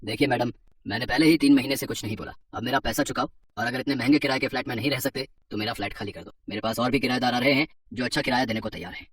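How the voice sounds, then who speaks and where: Impatient landlord, played by a man, curt and demanding, animated tale, echoey room, off-mic. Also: mid-range voice, racing, close to an everyday voice. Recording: roomy echo, distant from mic.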